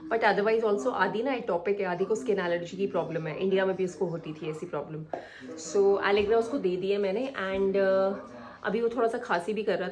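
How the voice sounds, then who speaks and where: A woman's voice: mid-range voice, darkish tone. A woman, chatting casually to her vlog audience about her family and day. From India. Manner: chatty, mixes in English phrases. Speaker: vlogger.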